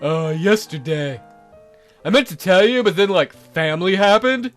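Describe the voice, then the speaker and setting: A man's voice: high-pitched, expressive, fairly quick, fairly bright tone, soft background music. A man reading a visual novel game aloud as a let's play host, with crude, irreverent asides.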